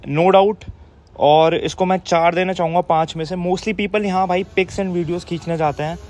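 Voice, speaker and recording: A man's voice: high voice, steady tone, measured pace. A young man vlogging a cafe review on a phone held at arm's length, talking in a brash, mocking, slangy way. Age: young adult. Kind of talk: casual cafe review vlog. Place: busy cafe indoors. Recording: loud music and crowd in cafe.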